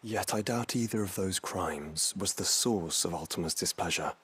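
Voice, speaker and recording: An actor playing a composed, learned fantasy game companion, husky and breathy, speaking in measured, eloquent phrases. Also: male voice, low-pitched, fairly bright tone, heavily acted. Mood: pensive. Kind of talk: dramatic video game acting.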